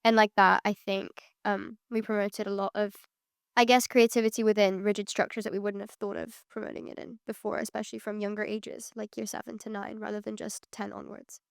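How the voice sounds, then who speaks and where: A young woman in her late teens, a student panelist answering questions in a measured way with frequent ums. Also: medium pitch, flat delivery, creaky, clean tone, no room sound, studio quality.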